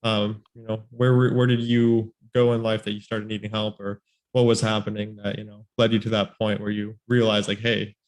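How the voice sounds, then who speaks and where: A man's voice: fairly low pitch, little pitch movement, normal speed. A man hosting a podcast interview, talking in a rambling way full of "you know" and "like" fillers. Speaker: podcast host. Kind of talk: casual interview conversation.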